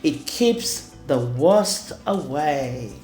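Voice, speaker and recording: Very slow, lively woman reading a children's story. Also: sits low. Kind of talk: expressive rhyming read-aloud for children. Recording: roomy echo with music underneath. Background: music.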